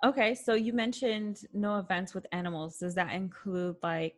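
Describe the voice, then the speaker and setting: Woman host, casual interview, friendly and chatty, fills pauses with "um" and "like". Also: mid-range voice, steady tone. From Puerto Rican family background. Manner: friendly, chatty, with frequent fillers.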